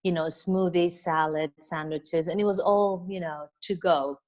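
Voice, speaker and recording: A middle-aged woman from Venezuela talks at length as an interview guest, in a voice pitched a little low. She rambles in an easygoing way, scattering "you know" through her answers and laughing softly now and then. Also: steady tone, measured pace. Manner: chatty and expansive, with frequent "you know" and soft laughs. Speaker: interview guest, wellness business coach. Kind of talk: casual interview conversation.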